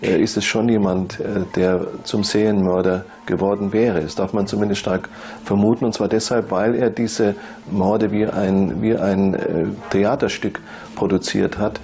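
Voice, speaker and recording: A man giving unscripted expert commentary in an interview, analytical and halting as he searches for words. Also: fairly low pitch, flat delivery, mellow.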